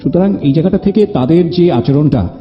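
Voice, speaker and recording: A man's voice: fairly high pitch, lively intonation, a little fast, dull audio, music in the background. A man with a Bangladeshi accent hosting a conversational interview show, speaking emphatically, repeating phrases and dropping in English words. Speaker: radio show host. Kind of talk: conversational interview and commentary to listeners.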